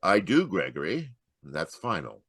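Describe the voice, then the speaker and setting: A man's voice: low-pitched, huge pitch swings, moderate pace, a bit bright, breathy edge, no echo, studio quality, heavily acted. A man playing a stern, scornful rich man in a radio mystery, emphatic, pompous and dismissive. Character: stern, scornful rich older brother, foundation head. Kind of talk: old-time radio mystery acting. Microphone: close home microphone on a video call.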